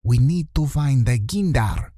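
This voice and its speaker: Sinister short wizard man played by a man, storybook villain, raised pitch, urgent, conspiratorial, scheming. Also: lively intonation, a little slow, mellow, no echo, clean studio sound.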